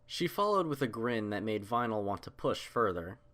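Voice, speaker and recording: A man's voice: on the low side, big pitch swings, moderate pace, fairly bright tone. A man reading scripted fiction aloud as the narrator of a story.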